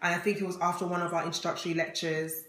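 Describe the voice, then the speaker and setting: A woman's voice: fairly low pitch, little pitch movement, racing, fairly bright tone. A talkative woman in her twenties from Ghana, chatting casually to camera as co-host of a vlog, laughing often. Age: 20s. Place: indoor room with plain wall. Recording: roomy echo.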